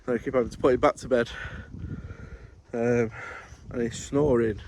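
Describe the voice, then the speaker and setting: A man's voice: medium pitch, fairly even intonation, moderate pace, a little mellow, slight background noise. A man giving a casual, rambling vlog update as a courier driver, in colloquial everyday speech.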